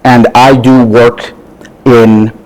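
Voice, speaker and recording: A man from Washington, D.C., speaking as an interview guest, in a measured way with frequent pauses. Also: mid-range voice, little pitch movement, a little mellow, poor audio. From Washington, D.C., United States.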